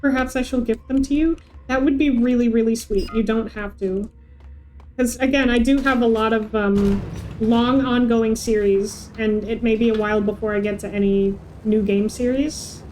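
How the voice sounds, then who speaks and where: Female streamer, higher register, casual host chat during gameplay, slight noise behind. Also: fairly even intonation, moderate pace, darkish tone, rough recording.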